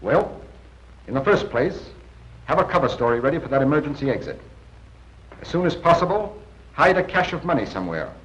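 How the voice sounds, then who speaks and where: A man playing a seasoned, assured intelligence briefing officer in a 1940s training film, giving measured, plain-spoken advice. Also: fairly high pitch, sing-song, a bit bright. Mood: assured, advising. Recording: muffled 1940s film soundtrack.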